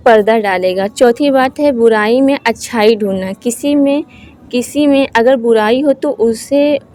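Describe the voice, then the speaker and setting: High-voiced woman giving soft-toned religious advice. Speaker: host of Islamic advice channel. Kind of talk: informal religious talk to viewers.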